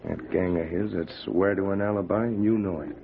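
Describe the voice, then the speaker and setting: A man playing a folksy, easygoing saloon keeper with a gravelly backwoods drawl in an old-time radio adventure. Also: deep voice, brisk. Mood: cautious. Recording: muffled, crackly old radio broadcast recording.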